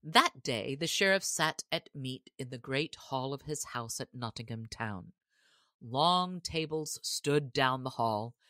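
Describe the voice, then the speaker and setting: A woman's voice: a bass voice, a bit bright, no echo, clean studio sound. A woman narrating a classic storybook as an audiobook reader, in a scripted style.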